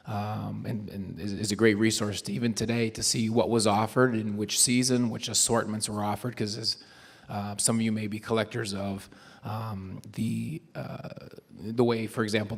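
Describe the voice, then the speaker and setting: A hoarse man giving a chatty panel talk to a live audience, with frequent ums and restarts. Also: on the low side. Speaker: convention panel presenter. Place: convention hall panel room. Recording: long hall reverb.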